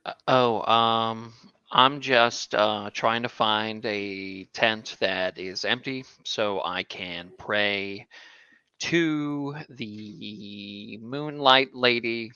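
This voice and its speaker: A man's voice: fairly low pitch, extremely slow, pushed and bright, smooth, no echo, dull audio. A man playing a cleric in a tabletop game, speaking in a hesitant, searching way with many fillers. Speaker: player.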